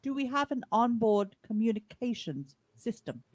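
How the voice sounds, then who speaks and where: A woman playing a measured starship captain taking charge in an amateur radio play. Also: fairly high pitch, very animated, very noisy. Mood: deliberating.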